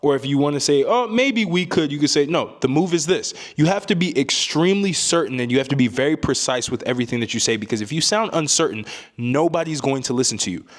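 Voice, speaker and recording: A calm young man with a deep voice giving casual advice to camera, often ending points with a rhetorical "right?". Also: fast talker, hardly any pauses. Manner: calm, emphatic, frequent "right?" tags. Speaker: self-improvement YouTuber.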